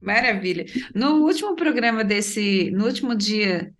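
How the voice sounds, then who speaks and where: A woman's voice: medium pitch, animated, measured pace, fairly bright tone. A Brazilian woman hosting a conversational programme. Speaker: radio show host, missionary.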